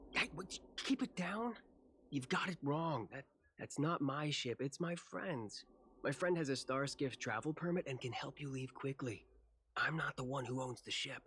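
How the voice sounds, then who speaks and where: Shifty, evasive orderly. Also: male voice, medium pitch, normal speed, fairly bright tone, husky. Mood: furtive. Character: shifty, reluctant young orderly quietly making a deal. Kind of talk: video game voice acting.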